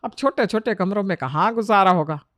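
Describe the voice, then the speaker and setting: A woman's voice: mid-range voice, lively intonation, brisk, a bit bright, no room sound, close to an everyday voice. A woman acts out a middle-aged Indian mother-in-law in a family storybook tale. She is fussy and worried, chiding and emphatic in every phrase. The recording is close and dry. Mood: exasperated.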